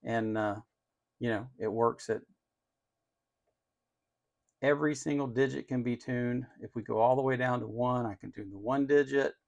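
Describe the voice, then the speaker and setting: A man's voice: medium pitch, steady tone, moderate pace, gravelly, pauses often, darkish tone. A man hosting an unscripted tech demonstration, explaining step by step in an opinionated way with emphasis on key words. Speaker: host of an electronics channel.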